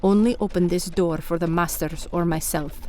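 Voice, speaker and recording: A woman's voice: fairly low pitch, fairly even intonation, moderate pace, warm tone, slight background noise, lightly acted. An actress playing a cold henchwoman of vampires in a radio play, issuing clipped, ruthless orders.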